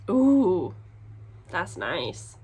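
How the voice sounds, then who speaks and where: A woman chatting casually to her vlog audience in an expressive, giggly, exclaiming way, as a book vlogger showing her purchases. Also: fairly low pitch, drawn-out words. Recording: clear background noise.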